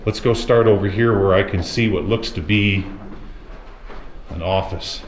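A man with a lowish voice narrates his walk through an abandoned mine, taking his time with every word. His voice rings off the rock walls of the tunnel, with a faint hiss underneath. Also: steady tone, roomy sound. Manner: slow, easygoing, talks to viewers and his companion. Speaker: mine explorer and channel host. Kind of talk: walking-tour vlog narration.

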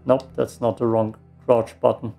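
A man's voice: mid-range voice, level tone, moderate pace, vocal fry, dark tone, faint music behind. A man giving casual gameplay commentary, muttering to himself and given to swearing. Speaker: let's play streamer.

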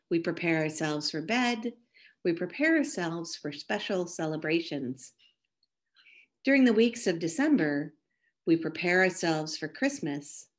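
A woman minister reading scripture and a devotional reflection in a careful, measured way. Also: on the low side.

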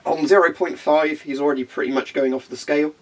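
A man's voice: fairly high pitch, fairly even intonation, fast talker. A young man with a congested, phlegmy voice, casually demonstrating equipment in a carpeted room and rambling with frequent ums. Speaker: hobbyist video host.

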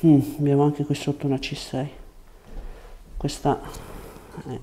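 A man's voice: on the high side, monotone, measured pace, warm tone, low-quality recording. A young man working as a chiropractor in a small treatment room, giving short reassuring directions and explaining the treatment. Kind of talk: hands-on treatment talk, explaining to patient and viewers. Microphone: lapel mic.

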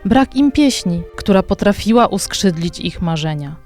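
A man's voice: high-pitched, expressive, normal speed, darkish tone, faint music behind. A man playing a troubled, disappointed subject of a fairy-tale kingdom, mournful, in a children's radio play.

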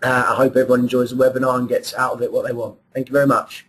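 A man introducing a webinar and its sponsor, talking briskly with a few fillers and restarts. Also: mid-range voice, little pitch movement, fairly bright tone. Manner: brisk, slightly hesitant with fillers. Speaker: webinar host and recruiter.

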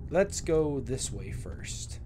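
A man's voice: medium pitch, big pitch swings, slow pace, noisy background. A young man with a stuffed-up, nasal voice from a cold, chatting and joking to himself while playing a game at home on a headset mic. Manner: chatty, self-mocking, talks to objects. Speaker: gaming video host. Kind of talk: let's play commentary. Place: small room at home.

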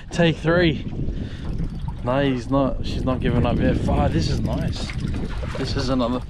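A man's voice: medium pitch, measured pace. An Australian man in his thirties, narrating a fishing trip to an action camera on a small boat, chatty and upbeat. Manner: upbeat, chatty, exclaims at the catch. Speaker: fishing vlogger. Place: small boat on an open inlet, outdoors. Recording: outdoor recording with wind and water noise. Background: water, wind and boat noise.

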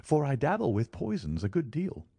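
A man voicing an eager, energetic eccentric amateur detective with brisk, cheerfully candid speech, as in an audiobook. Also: mid-range voice, vocal fry, husky, no echo, voice pushed up, exaggerated voice. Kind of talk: audiobook character dialogue.

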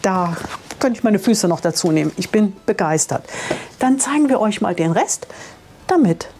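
A woman's voice: medium pitch, lively intonation, normal speed, darkish tone. A woman gives a chatty, enthusiastic guided tour to camera as a travel vlogger, teasing now and then, on a clip-on microphone. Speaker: camper van travel vlogger and presenter. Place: campsite, outdoors and in small tiled rooms. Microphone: clip-on lapel microphone. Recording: clear ambient noise on location.

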